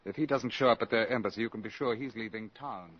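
Actor as a fast-talking, suspicious British investigator. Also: male voice, fairly low pitch, close to an everyday voice. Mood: pondering. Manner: brisk, authoritative. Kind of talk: 1950s radio drama acting. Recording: dull old radio recording, faint noise.

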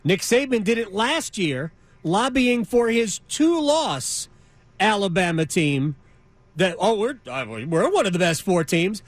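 Male sports talk host, high-pitched, emphatic, conversational, dry studio sound. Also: sing-song, measured pace, no echo. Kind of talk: casual sports radio conversation. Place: radio studio. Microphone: close studio microphone.

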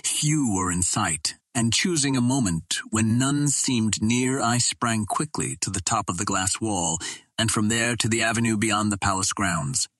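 Guy reading an old adventure novel aloud, dramatic, raspy lowish voice, no room sound. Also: measured pace, fairly bright tone, dry recording, clean studio sound. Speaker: audiobook narrator. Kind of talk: scripted narration of an adventure novel.

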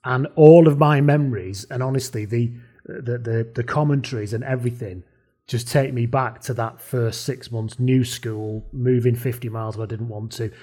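A man's voice: medium pitch, fairly even intonation, normal speed, mellow. Lancashire bloke in his 40s, chatty host, drifts off on tangents. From Lancashire, England. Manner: chatty storyteller, tangents, self-deprecating asides. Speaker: podcast host. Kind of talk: casual chat.

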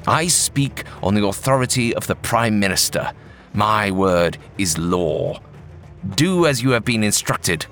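A man's voice: on the low side, lively intonation, a little slow, fairly bright tone, faint music behind. A man voicing a stern male religious official who gives curt orders. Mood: commanding. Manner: curt, commanding.

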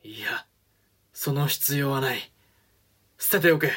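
An actor playing a shrewd, commanding samurai regent in a Japanese period audio drama, with authoritative, weighty archaic speech. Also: male voice, medium pitch, slow pace, a bit bright, slightly rough. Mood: stern, startled.

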